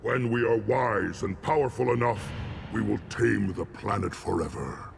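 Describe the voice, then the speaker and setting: A man voicing a solemn, zealous alien warrior shaman in a video game, gravelly and ceremonial in his grand declarations. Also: lowish voice, normal speed, noisy background. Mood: zealous, grandiose. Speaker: clan shaman.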